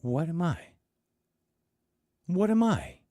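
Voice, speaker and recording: A man voicing a panicky, furious teenage boy who shouts and swears, his voice pushed high. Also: fairly quick, no echo, clean studio sound. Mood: panicked.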